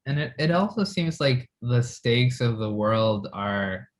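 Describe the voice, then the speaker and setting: A man being interviewed as a filmmaker, talking casually and reflectively, with halting phrasing. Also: medium pitch, animated. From grew up in the West.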